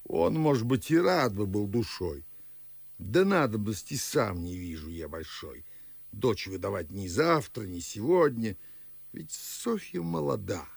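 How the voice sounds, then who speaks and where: A gravelly fussy old gentleman. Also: on the low side, no room sound, full character voice. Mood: lecturing. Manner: fawning, fussy, chuckling host. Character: fussy elderly Moscow gentleman, father of the house. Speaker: actor. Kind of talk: classic radio play acting.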